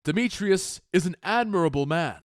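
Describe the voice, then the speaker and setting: Man playing a stately, higher-voiced commanding duke. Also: lively intonation, no room sound, clean studio sound. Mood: stern, advising. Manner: stately, deliberate, authoritative. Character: commanding, measured Duke of Athens. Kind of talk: modernized Shakespeare audio drama acting.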